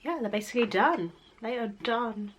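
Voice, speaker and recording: A young woman chatting casually through a makeup tutorial, her voice a little croaky from a cold, rambling and laughing easily. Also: fairly high pitch, expressive, normal speed, slight background noise. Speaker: beauty vlogger.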